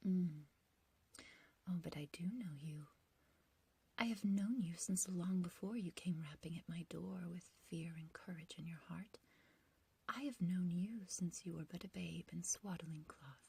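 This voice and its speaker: Woman acting a slow, whispering, seductive ancient witch. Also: lowish voice, a little mellow, heavily acted. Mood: possessive, coaxing. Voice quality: breathy whisper. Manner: slow, theatrical, archaic wording, doting yet condescending. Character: ancient, beautiful yandere witch, obsessive, seductive and menacing. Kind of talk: ASMR roleplay.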